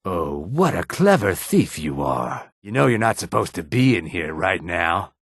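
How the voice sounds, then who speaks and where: A gruff lizard-man from a fantasy video game, his throat full of gravel and rasp. He is combative and curt, with a theatrical, widely swinging pitch. The sound is close and dry. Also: big pitch swings, a little slow, no echo, exaggerated voice. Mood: low, measured. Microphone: close studio microphone, dry.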